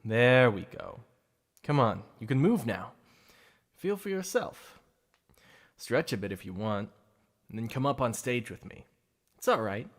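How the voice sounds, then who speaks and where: A man's voice: mid-range voice, animated, unhurried, long pauses, lightly acted. Guy doing a cocky showman supervillain, mocking and playful, voice swings up and down a lot. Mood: gloating showman. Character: theatrical male supervillain, boastful, secretly obsessed. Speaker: ASMR roleplay performer. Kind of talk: ASMR roleplay.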